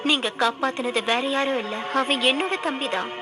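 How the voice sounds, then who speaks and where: A woman's voice: sits high, a little fast. A woman voicing a bossy, hot-tempered young woman in a Tamil cartoon dub, with a commanding manner. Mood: remorseful, grateful. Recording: music behind the voice.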